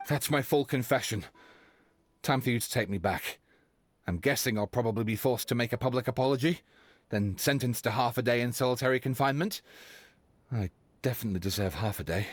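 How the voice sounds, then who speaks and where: A man's voice: medium pitch, fairly quick, no room sound, lightly acted. A man playing an idealistic, remorseful detective in a game, speaking earnestly and theatrically. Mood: resigned. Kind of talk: scripted game voice acting. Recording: music under the voice.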